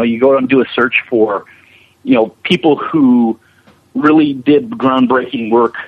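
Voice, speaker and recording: A man's voice: medium pitch, slightly rough. A man being interviewed, telling anecdotes in a chatty, enthusiastic, casual way. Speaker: visual effects animator being interviewed. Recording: muffled band-limited radio-like line.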